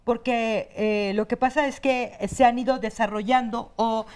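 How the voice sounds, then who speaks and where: Hesitant woman, smooth voice. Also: on the high side, steady tone, measured pace, darkish tone. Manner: hesitant, many "eh" fillers while listing. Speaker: guest, human rights director. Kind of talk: explaining on a talk show.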